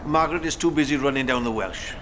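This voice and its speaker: A man's voice: mid-range voice, quick pace, noisy background, close to an everyday voice. A man in a British TV drama speaking up indignantly.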